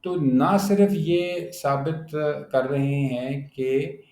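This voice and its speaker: A man with a Pakistani accent giving an explanatory lecture in a halting manner, often pausing to check that listeners follow. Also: on the high side, darkish tone. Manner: halting, with frequent check-in tags. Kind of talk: explanatory law lecture, Urdu mixed with English terms. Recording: roomy, echoing sound.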